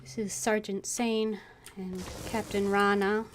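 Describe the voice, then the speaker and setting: A woman giving casual gameplay commentary, halting as she thinks aloud. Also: medium pitch, flat delivery, a little hiss or hum. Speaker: game streamer.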